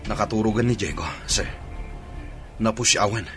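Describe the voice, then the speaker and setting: An actor with an Ilocano accent playing a dutiful, caring soldier in a radio drama, speaking earnestly and respectfully. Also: male voice, on the low side, moderate pace, music in the background, lightly acted. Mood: worried.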